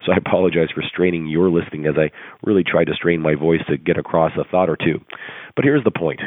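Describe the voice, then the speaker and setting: A hoarse man with a very deep voice gives an earnest, rousing coaching talk full of rhetorical questions. He is heard over a tinny conference phone line. The sound is dry and close. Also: quick pace, no echo. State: hoarse, recovering from laryngitis. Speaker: coaching call presenter. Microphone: telephone conference call.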